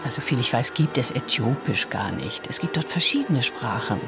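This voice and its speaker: A woman's voice: rumbling low, lively intonation, moderate pace, music in the background. An actress playing an observant, curious woman in a radio play, talking in a conversational, wondering, hesitant way. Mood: hushed, wondering. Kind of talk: German radio play acting.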